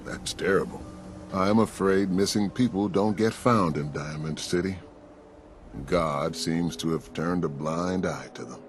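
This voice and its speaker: An actor playing a kindly, folksy American pastor in a video game, sympathetic in manner. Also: male voice, low-pitched, unhurried, noisy background. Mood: sympathetic, grave. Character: kindly, folksy male small-town pastor. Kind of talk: video game dialogue acting. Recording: voice over game music.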